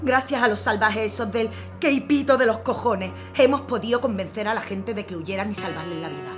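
A woman's voice: medium pitch, fairly quick, over music, natural voice. A woman playing a defiant, earnest aunt who pleads with her family to flee, in a radio drama. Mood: urgent. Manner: earnest, pleading, then resolute. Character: defiant leftist aunt Lina, sister.

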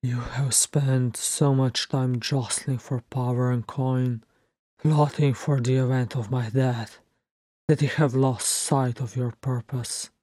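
A weary old king of a storybook realm, acted by a narrator, stern and commanding. He delivers formal, grave speech slowly and deliberately. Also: medium pitch, level tone, warm tone, no room sound, close to an everyday voice.

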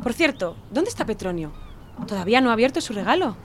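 A woman's voice: a little high, big pitch swings, quick pace, faint music behind. A woman playing a confident, authoritative mother in an audio drama, assured and lecturing. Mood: businesslike.

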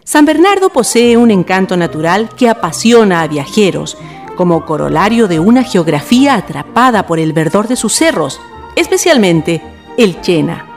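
Expressive Chilean woman narrator over music. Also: medium pitch, moderate pace. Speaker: narrator and host of a radio drama series. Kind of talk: scripted storytelling narration for radio drama. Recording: music behind the voice.

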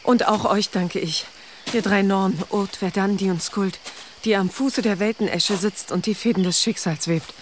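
A woman's voice: mid-range voice, fairly even intonation, normal speed, a little hiss or hum, close to an everyday voice. An actress playing a determined pagan herbalist and devoted mother in a medieval radio drama, speaking earnestly. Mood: reverent, grateful. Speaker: actor in a radio play. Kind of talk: German radio drama acting.

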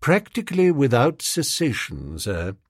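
A man's voice: medium pitch, dry recording, clean studio sound. Unflappable English valet, voiced by a man. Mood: dryly informative. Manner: formal, measured, deadpan. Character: discreet, unflappable male English valet, deferential and dry.

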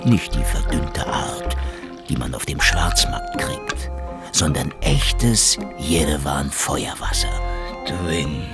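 An actor playing a cynical, sardonic, menacing Soviet private detective who also narrates, in a hardboiled radio crime drama. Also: male voice, rumbling low, lively intonation, slow, over loud music, full character voice. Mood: savouring. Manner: sardonic, world-weary.

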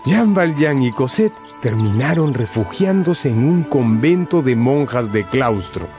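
A man's voice: mid-range voice, animated, measured pace, mellow. A man narrating a scripted radio drama as a dramatic storyteller. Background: background music.

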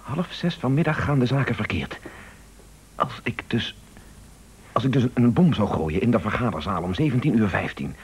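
An actor playing a determined news agency boss in a Dutch radio play, speaking urgently and insistently with hesitations. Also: male voice, mid-range voice, lively intonation, moderate pace, slightly rough. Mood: urgent, resolute.

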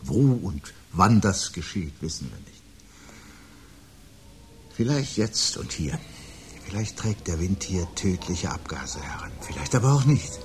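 An actor playing a brooding, articulate man who calmly awaits the world's end in a radio play, speaking in a measured, formal, sardonic way. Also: low voice, heavily acted. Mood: grave, ominous. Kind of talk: 1970s German radio play acting. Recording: 1970s radio production with audible background noise.

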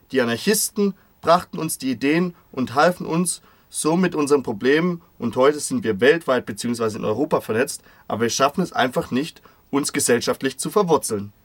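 Male voice-over reader for a translated radio interview, mid-pitched, very dry sound. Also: a bit bright, no echo.

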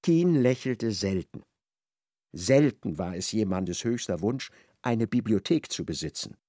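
A male narrator reads literary prose with a lively, rising and falling delivery and a gravelly edge to his voice. The recording is close and dry, with no room sound. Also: fairly low pitch, unhurried, no room sound. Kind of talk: scripted literary narration for radio drama.

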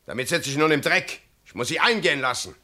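An actor playing a pushy, complaining nursery dealer in a comic radio play, insistent and businesslike. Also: male voice, fairly high pitch, lively intonation, quick pace, bright, forceful tone.

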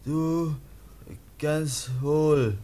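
An actor playing a bewildered, childlike teenage foundling boy in a 1950 German radio drama, speaking in halting, simple words. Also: on the high side, fairly even intonation, dark tone. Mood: timid, halting. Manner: halting, simple broken speech. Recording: old, faintly noisy radio recording.

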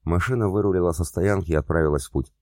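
A man reading a novel aloud as a steady audiobook narrator, voicing the characters with little change. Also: low-pitched, fast, dry recording, studio quality. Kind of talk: scripted narration.

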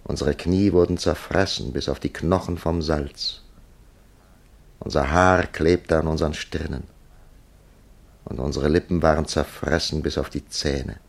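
An actor playing a thoughtful writer who narrates in a radio drama, with a gravelly voice and a measured, deliberate delivery. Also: male voice, extremely low pitch, steady tone, heavily acted. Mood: solemn, lamenting. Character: thoughtful male writer narrating his own puzzling encounter. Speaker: radio play lead and narrator. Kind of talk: German radio drama acting.

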